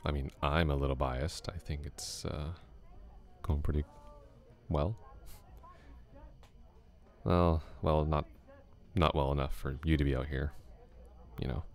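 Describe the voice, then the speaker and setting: A man playing a laid-back flirty classmate, very deep. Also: fairly even intonation, measured pace, pauses often. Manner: casual, easygoing, chuckles often. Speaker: ASMR roleplay voice actor. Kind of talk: ASMR roleplay, soft close talk.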